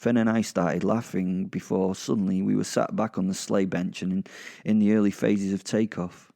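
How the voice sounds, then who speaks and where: Male narrator, low and mellow, sardonic and foul-mouthed, for first-person comic horror audio drama. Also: steady tone, moderate pace, gravelly. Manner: gleeful, sardonic, sweary.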